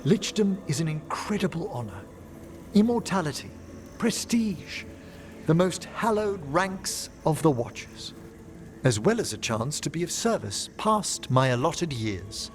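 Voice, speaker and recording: An aging scholar of necromancy in a fantasy game. His voice sits a little high for a man and moves widely in pitch. He speaks formally and gently, with a wistful, learned air, over a musical score. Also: very animated, faint music behind. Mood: solemn pride. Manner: formal, eloquent, measured phrasing. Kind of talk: video game dialogue acting.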